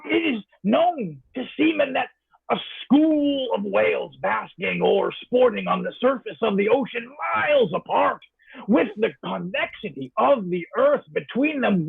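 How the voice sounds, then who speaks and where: Actor playing a haunted, high-voiced man, gravelly with fry. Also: exaggerated voice. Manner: theatrical, halting, archaic literary diction. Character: haunted, sleepless man fearing for his sanity. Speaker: actor in a live audio drama. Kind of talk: old-time radio drama monologue, read as diary entries. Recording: thin phone-like audio with faint music.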